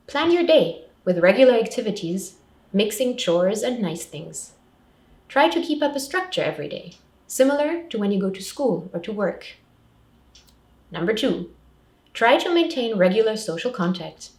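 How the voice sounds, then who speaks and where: A woman's voice: mid-range voice, big pitch swings, normal speed, a little mellow. Woman giving advice in an echoey room. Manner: simple, clearly structured advice in short sentences. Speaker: refugee support counsellor presenting advice. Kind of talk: scripted public information talk. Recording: roomy echo, distant microphone.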